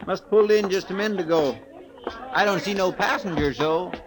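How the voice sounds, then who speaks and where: A man's voice: sits high, brisk, natural voice. An actor playing a simple, eager lawman's helper in a 1950s radio western, folksy and deferential, with a rural American accent. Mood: curious. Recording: noisy old radio recording.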